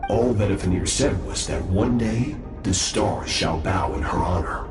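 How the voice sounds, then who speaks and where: A deep, echoing, aloof master warrior. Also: male voice, over loud music. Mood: calm, lofty. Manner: lofty, measured, formal. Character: powerful, self-assured, aloof master swordsman, adult man. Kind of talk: dramatic video game voice acting.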